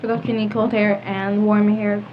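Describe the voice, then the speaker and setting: A woman's voice: medium pitch, level tone, normal speed. A teenage female podcast panelist who questions and challenges the others in casual discussion. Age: teens. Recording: muffled audio with clear noise.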